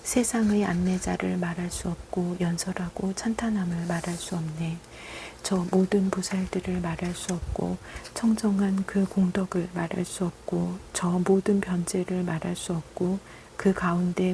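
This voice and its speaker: A woman recites Buddhist scripture in a solemn, measured cadence. Her voice is on the low side and fairly even, with a marked creak. Faint noise sits behind the reading. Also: low-quality recording.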